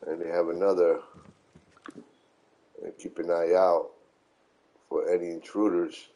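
A middle-aged man giving casual, unhurried live game commentary, repeating himself as he explains. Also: low voice, level tone, gravelly, mellow. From New York City, United States. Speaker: video game streamer.

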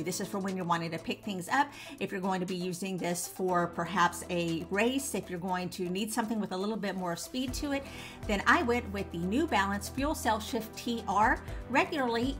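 A woman in her forties hosting a running vlog, talking to camera from her living room, her voice still rough from the flu, upbeat and stressing key words. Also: medium pitch, fairly bright tone. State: recovering from flu, voice a bit rough. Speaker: running channel host. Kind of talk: scripted vlog talk to camera. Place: living room with bookshelves at home.

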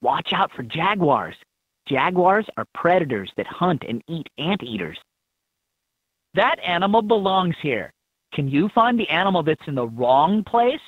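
A man reading scripted instructions for a children's learning game in an expressive, instructive manner. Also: a little high, a little slow, breathy edge, no echo. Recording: thin, phone-like lo-fi game audio.

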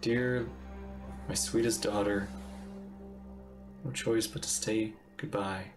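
A man's voice: fairly low pitch, steady tone, takes it slowly. A middle-aged man casually commenting and reading aloud as he streams a video game. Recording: game music clearly behind.

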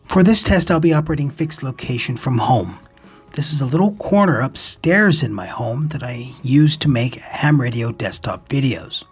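A man with a very husky, breathy voice narrates an instructional hobby video. He explains things in a measured, methodical way as the host, with music playing behind his voice-over. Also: medium pitch, lively intonation. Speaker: ham radio video host.

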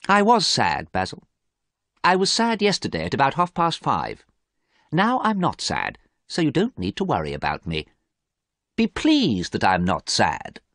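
A vain young gentleman from a storybook, acted out by a male narrator, haughty and quick-tempered. His delivery is theatrical and swings very widely in pitch, lifting well above a normal talking register, in a dry, close recording. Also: wildly swooping pitch, no room sound, heavily acted. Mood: careless, insistent.

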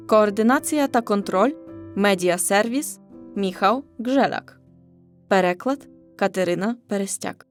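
A woman reading scripted narration of a children's folk tale as the storyteller. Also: mid-range voice. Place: studio.

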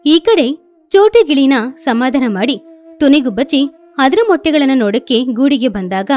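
A woman's voice: high-pitched, expressive, normal speed, a little mellow. A woman narrating a scripted children's story.

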